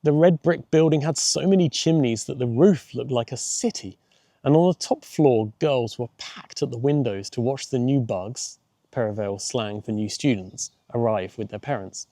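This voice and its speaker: A man's voice: mid-range voice, moderate pace, dark tone, no room sound, studio quality. A man reading a children's audiobook as its narrator, in scripted storytelling style.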